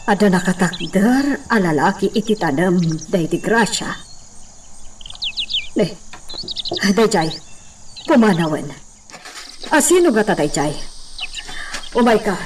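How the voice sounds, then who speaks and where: A commanding señora in a radio serial. Her pitch swings expressively, and the recording is noisy and set back from the microphone. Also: expressive, slow pace, long pauses. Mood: puzzled. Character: well-off señora, grieving mother at her child's grave. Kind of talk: Ilocano radio drama acting. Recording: distant, noisy track.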